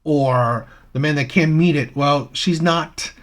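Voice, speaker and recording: A man's voice: fairly high pitch, slow. A man hosting a reaction channel, giving opinionated commentary with rhetorical questions and frequent "right?" checks.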